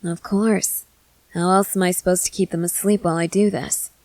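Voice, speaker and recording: A woman's voice: lowish voice, steady tone, moderate pace, a little mellow, no echo, natural voice, rough recording. Assured female vampire mentor, dry close sound, woman acting. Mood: matter-of-fact, teasing. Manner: matter-of-fact, coaxing, assured. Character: confident, reassuring experienced female vampire mentor. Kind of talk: ASMR roleplay acting.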